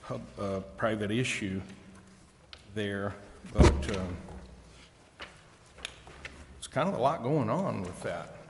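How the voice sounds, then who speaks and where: An American man making deliberate, halting remarks as a council member at a meeting. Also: fairly low pitch, animated. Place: council chamber. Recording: echoey hall, faint noise.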